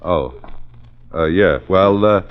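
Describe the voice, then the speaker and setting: Deep-voiced flustered bashful cop. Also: male voice, full character voice. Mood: flustered, apologetic. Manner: halting, bumbling. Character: bashful, flustered, smitten male police officer. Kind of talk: 1940s radio comedy-drama acting. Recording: muffled old 1940s radio recording.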